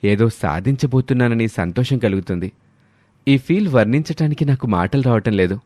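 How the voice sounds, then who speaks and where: A man's voice: mid-range voice, animated, normal speed, darkish tone, no room sound, natural voice. A man playing a polite, enthusiastic young city visitor in a moral story. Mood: delighted, earnest.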